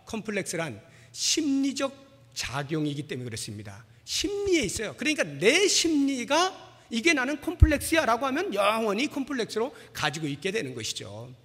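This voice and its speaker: A man's voice: very high-pitched, huge pitch swings, normal speed, pushed and bright. A man in his forties preaching a sermon as a pastor, telling personal anecdotes in a conversational, self-deprecating way. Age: 40s. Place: large reverberant church hall. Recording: long hall reverb.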